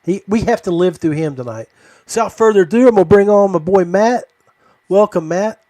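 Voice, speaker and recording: An American man hosts a casual live podcast. His voice sits a little higher than most men's. The sound is dry and close, with almost no room in it. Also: lively intonation, brisk, dark tone, no echo. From United States, West Virginia. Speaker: podcast host.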